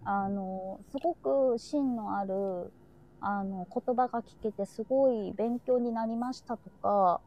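A woman's voice: mid-range voice, slow pace, muffled recording. A woman hosting a live streamed talk, chatting casually and reflectively and sharing her feelings openly.

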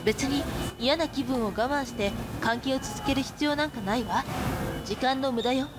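A woman playing a confident, blunt, bookish high school girl in a radio drama, brisk and a little pompous in manner. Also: loud music behind the voice, lightly acted. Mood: blunt, dismissive. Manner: assured, brisk, pompous when lecturing. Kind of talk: Japanese radio drama acting. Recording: loud ambient noise behind the voice.

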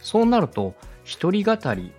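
A man's voice: sits high, wildly swooping pitch, unhurried, dark tone, husky. A man hosting a podcast, explaining things politely and conversationally and speaking straight to the listener. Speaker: podcast host and radio director giving advice. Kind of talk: explanatory podcast teaching with acted example questions. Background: background music, faint.

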